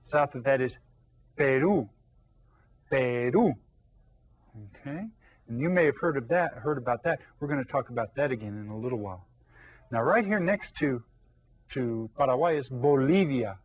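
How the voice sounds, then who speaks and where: A man teaching a Spanish lesson to young children, explaining patiently and repeating key words. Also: medium pitch. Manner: patient, explains slowly with repetition.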